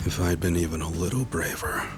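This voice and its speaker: An actor voicing a brash, wisecracking young male yakuza buddy in an English game dub, teasing, excitable and swearing freely. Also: sits low, brisk, close to an everyday voice. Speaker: game character. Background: game soundtrack music.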